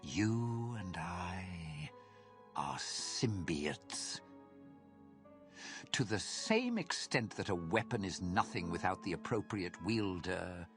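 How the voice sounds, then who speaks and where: A sinister spirit of cursed armour from a fantasy game. The voice is very low and slow, with phrases that swoop and linger. It is formal, suave and theatrical, full of courtly menace. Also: male voice, a bit bright, full character voice. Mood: smooth, persuasive. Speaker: video game character in a fantasy mod.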